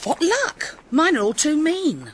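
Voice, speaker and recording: A woman's voice: sits high, huge pitch swings, measured pace, slight background noise, heavily acted. A woman playing an eager, gossipy young Regency lady in a radio drama, inquisitive in manner. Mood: curious, envious.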